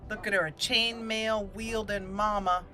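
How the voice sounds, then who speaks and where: A woman hosts her gaming channel, chatting through her play session and thinking aloud. Her talk is casual, with easy laughs. Game music plays clearly behind her voice. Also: mid-range voice, fairly even intonation, a little slow. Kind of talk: casual let's-play commentary. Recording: voice-over over loud game music.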